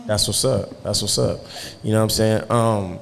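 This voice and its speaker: A man with a low voice hosts a relaxed podcast interview. He talks in easy slang and keeps checking in with his guest. His voice rings out into a reverberant room. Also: normal speed, creaky. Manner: laid-back, slangy, keeps asking "you know what I'm saying". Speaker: podcast host. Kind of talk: casual interview chat.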